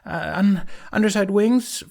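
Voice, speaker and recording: Man voicing a high, nervous young Viking know-it-all. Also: a little slow, vocal fry, a little mellow. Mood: nervous, frightened. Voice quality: cracking voice. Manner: nervous, stuttering, clipped. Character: nervous, brainy young male Viking reciting creature facts.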